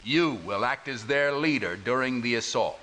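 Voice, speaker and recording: An actor playing a stern resistance commander in a video game, formal, grave and authoritative. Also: male voice, medium pitch, moderate pace, fairly bright tone, close to an everyday voice. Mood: grave, commanding. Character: stern male resistance commander giving urgent military briefings. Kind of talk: scripted video game cutscene acting. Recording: echoey reverberant hall, clear background noise.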